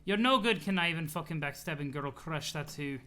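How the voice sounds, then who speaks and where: Man putting on a haughty, ranting Scottish-accented troll, bright and high. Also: expressive, a little fast. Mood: indignant. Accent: put-on Scottish. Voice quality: silly put-on accent. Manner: pompous, indignant, ranting. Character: haughty, foul-mouthed, megalomaniacal young male troll sea dweller. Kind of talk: comic read-aloud of a webcomic.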